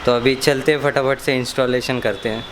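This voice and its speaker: A man's voice: medium pitch, fairly even intonation, fairly quick, rough recording. A man chatting casually as a motorcycle vlogger, mixing in English words. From India. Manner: informal Hindi mixed with English words. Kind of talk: casual vlog chat. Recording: some background noise.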